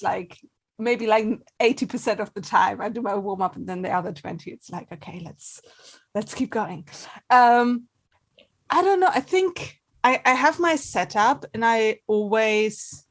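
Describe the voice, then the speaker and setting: A woman's voice: a little high, normal speed. A woman being interviewed as an actor, talking casually and candidly, thinking her answers through as she goes. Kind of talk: casual chat.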